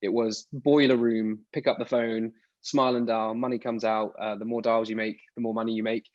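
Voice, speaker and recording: A man's voice: lowish voice, level tone, fairly quick, no room sound. A man from the UK in his thirties, answering interview questions in a rambling, casual way with frequent restarts. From United Kingdom. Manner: rambling, restarts words often. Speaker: podcast guest. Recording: dull lo-fi dry sound.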